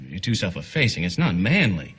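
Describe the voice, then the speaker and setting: A man's voice: deep voice, very animated, a little fast, a bit bright, husky, full character voice. An actor playing a blunt, combative, boastful male writer in film dialogue, speaking in brusque declarations. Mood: blunt, scornful.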